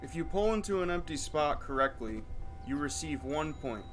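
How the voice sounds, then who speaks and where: A man's voice: on the high side, lively intonation, normal speed, fairly bright tone. A man reading scripted narration for a game demonstration. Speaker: demo narrator. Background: music.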